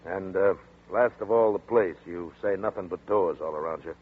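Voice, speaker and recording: An actor playing a blunt, skeptical police detective in 1940s radio drama style, heard over a tinny old broadcast. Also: male voice, deep voice. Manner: brisk, gruff, no-nonsense.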